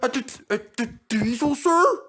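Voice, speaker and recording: Man voicing a cheeky young steam engine, pitched up. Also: expressive, measured pace, a bit bright, full character voice. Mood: nervous, apologetic. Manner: stammers when nervous. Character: cheeky, overconfident young male steam engine, easily flustered. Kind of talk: children's storybook character voice.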